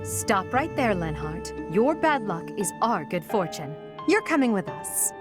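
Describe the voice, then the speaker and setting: A woman's voice: medium pitch, sing-song, unhurried, music in the background. An actress voicing a serious, commanding young noblewoman leader in a video game, formal, cool and authoritative.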